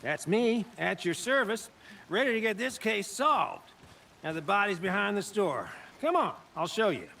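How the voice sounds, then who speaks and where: A man's voice: sits high, sing-song, normal speed, creaky, sharp tone, distant mic, a little hiss or hum, exaggerated voice. A man playing an eager, naive small-town sheriff's deputy in a video game, with a folksy, chatty, starstruck manner. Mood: eager, excited.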